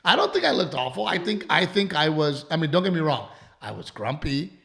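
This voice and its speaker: Gravelly-voiced man with a worn voice. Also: on the high side, sing-song, fairly quick, fairly bright tone. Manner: rambling, repeats himself, swears casually. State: voice worn from allergies and shows. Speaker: comedian and podcast host. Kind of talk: casual podcast chat.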